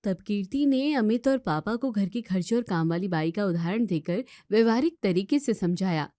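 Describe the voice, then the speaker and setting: A woman's voice: medium pitch, animated, normal speed, mellow, dry recording, clean studio sound. A woman reading scripted story narration as the narrator.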